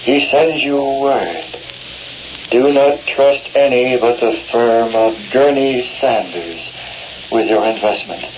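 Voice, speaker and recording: A woman's voice: extremely low pitch, slow. A woman playing an otherworldly séance spirit, solemn, in 1930s radio drama style. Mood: eerie, solemn. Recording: muffled noisy old radio recording.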